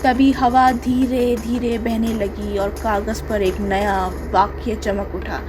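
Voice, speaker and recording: A woman's voice: a little high, flat delivery, takes it slowly, over music. A woman reading a scripted children's story as the storyteller.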